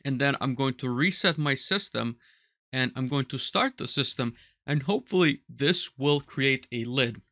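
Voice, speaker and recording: A man in his thirties giving a step-by-step software tutorial on automation, talking methodically over a headset mic in a small workshop. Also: mid-range voice, expressive, moderate pace, vocal fry, no room sound, clean studio sound. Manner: explanatory, methodical, walks through steps aloud. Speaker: automation instructor. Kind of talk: screen-recorded software tutorial. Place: small workshop room with control panels.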